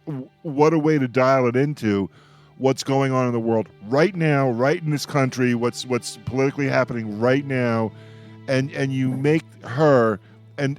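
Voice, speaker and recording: Male roundtable host, average pitch, conversational and halting, repeats himself, faint music bed. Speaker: radio discussion host. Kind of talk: casual roundtable discussion.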